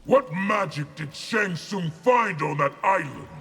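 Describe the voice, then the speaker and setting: Combative fantasy fighter, vengeful, lively pitch, gravel in the voice, music behind. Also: male voice, slow pace, heavily acted. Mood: defiant anger. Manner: blunt, combative delivery. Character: defiant, angry fantasy warrior, blunt and vengeful. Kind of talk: video game fighter acting. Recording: game dialogue with music behind.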